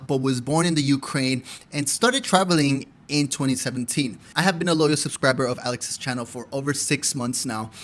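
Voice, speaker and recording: A man's voice: fairly high pitch, a bit bright. Laid-back male podcast host. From Colombia. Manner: friendly, laid-back, says "bro" and "dude". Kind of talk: casual podcast interview.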